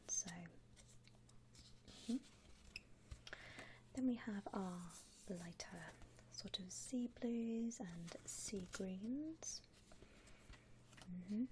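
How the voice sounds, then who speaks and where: A woman playing a gentle vampire coffin saleswoman in a whispered ASMR roleplay, unhurried, with hesitant pauses and soft hums. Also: medium pitch, a little hiss or hum, close to an everyday voice. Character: softly whispering female vampire coffin saleswoman, attentive and discreet. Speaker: ASMR performer playing a shop consultant.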